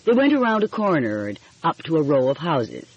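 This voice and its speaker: A woman with a husky voice reading a mystery story aloud as scripted audiobook narration. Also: low voice, very animated, a little fast, darkish tone, muffled recording.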